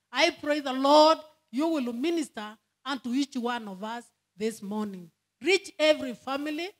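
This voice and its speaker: Kenyan woman preacher, high voice, fervent prayer rhythm, code-switching sermon in church. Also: rough recording. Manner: switches between Swahili and English, fervent prayer cadence.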